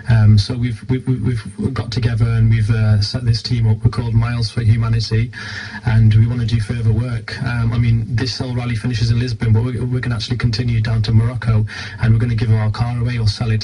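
A man's voice: mid-range voice, warm tone, breathy edge, low-quality recording. A British man giving an informal radio interview as a guest, explaining things earnestly. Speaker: charity team leader, radio interview guest.

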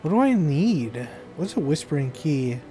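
Higher-pitched man joking while gaming. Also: big pitch swings, unhurried, over music. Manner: joking, playful. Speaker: co-op gamer. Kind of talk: casual gameplay chat.

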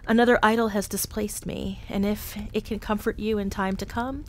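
A woman voicing a young woman who speaks softly with quiet sorrow and resignation. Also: medium pitch, fairly even intonation, moderate pace, a little hiss or hum, natural voice, low-quality recording. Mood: sorrowful. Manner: soft, quiet.

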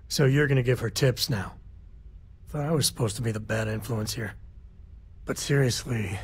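Wry protective cowboy dad in a space game, really raspy rough voice, folksy. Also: male voice, mid-range voice. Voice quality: husky, rough-edged. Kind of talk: video game dialogue acting.

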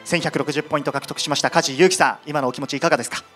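Bright, high-pitched male event MC. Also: fairly even intonation, rapid-fire, soft background music. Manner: polite, emphatic announcer delivery. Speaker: stage event host. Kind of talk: lively event MC hosting. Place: cinema stage.

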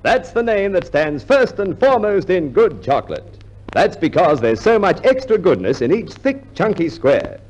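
Male announcer for vintage radio advert; high, emphatic, very animated pitch. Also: normal speed, highs cut off. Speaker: commercial announcer. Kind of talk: 1950s radio ad read, emphatic.

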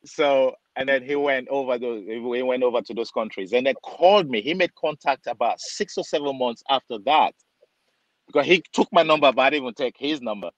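A man from Nigeria who works as a barber tells a long story on a video call. He talks chattily, fills it with "um" and "like", and slips into the voices of the people in it. His mid-low voice comes through a dull, dry, lo-fi webcam line. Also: fairly quick, no room sound. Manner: chatty, acts out dialogue, many fillers.

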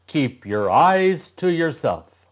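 A man's voice: fairly high pitch, sing-song, slow, voice pushed up. A man voicing a menacing little troll from a storybook, in a commanding, exclaiming way.

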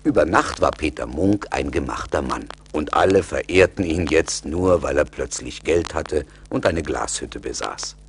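A man's voice: rumbling low, lively intonation, a little slow, hardly any pauses, slight background noise. A man narrating a fairy tale as the scripted storyteller of a radio play.

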